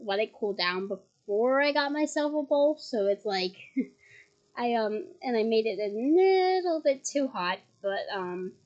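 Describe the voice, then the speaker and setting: Woman reading a book on her bed, kinda hoarse, high voice, giggly little side comments. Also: expressive, measured pace, a little mellow, lo-fi sound. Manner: chatty, giggly asides between read passages. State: slightly hoarse. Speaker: reader of a novel. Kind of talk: casual read-aloud with asides.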